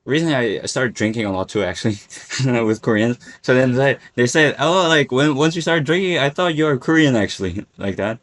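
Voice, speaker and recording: A young man from Germany co-hosting a podcast, relaxed and full of "like" fillers, often trailing off quietly. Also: medium pitch, fairly quick, hardly any pauses. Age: young adult. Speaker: podcast co-host. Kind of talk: casual podcast chat.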